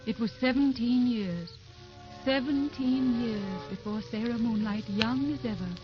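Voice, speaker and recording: Woman as solemn radio drama narrator, highish voice, big music behind her, muffled old recording. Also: a little slow, close to an everyday voice. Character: solemn female storyteller of a sentimental romance.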